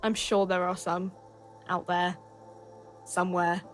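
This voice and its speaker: Game side character, laid-back shop worker: lowish voice, unhurried offhand delivery, faint music behind. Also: female voice, little pitch movement. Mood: offhand, vague. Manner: offhand, halting, casual. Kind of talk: casual video game dialogue.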